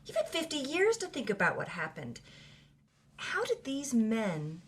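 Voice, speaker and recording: A female interviewer, eager and admiring. Her voice is fairly high and very lively in intonation, with some room ambience around it. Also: unhurried, a little hiss or hum, full character voice. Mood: admiring, curious. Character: eager, admiring woman interviewing an old star. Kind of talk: dramatized interview.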